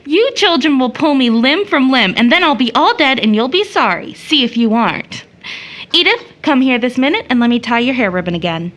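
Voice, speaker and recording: An actress playing an affectionate young woman in an audio drama, with a tender and expressive manner. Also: high-pitched, a little fast. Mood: playful, tender. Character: Rachel, affectionate young woman doting on small children. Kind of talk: stage play audio drama acting.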